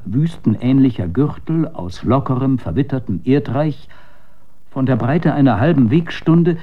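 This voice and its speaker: Male narrator, medium pitch, measured literary storytelling with dramatic emphasis, slightly lo-fi radio sound. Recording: slightly dull, band-limited radio recording.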